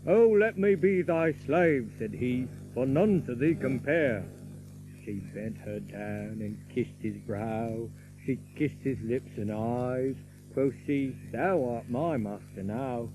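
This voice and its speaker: An actor playing a merry young outlaw who recites an old romantic ballad in lilting, theatrical archaic verse. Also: male voice, mid-range voice, takes it slowly, noisy background, lightly acted. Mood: tender, romantic.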